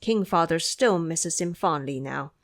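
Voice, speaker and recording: A woman puts on a young male crown prince, haughty and quick to temper. The delivery is imperious, with animated rises and falls suited to audio drama. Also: deep voice. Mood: earnest. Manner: imperious, indignant.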